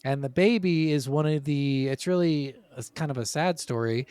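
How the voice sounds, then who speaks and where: A man's voice: fairly high pitch, normal speed, darkish tone, clear, resonant tone, no echo, clean studio sound. A man co-hosting a podcast, chatting informally and telling anecdotes in a conversational way. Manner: informal, acts out quotes conversationally. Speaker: podcast co-host. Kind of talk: casual chat, anecdotal storytelling.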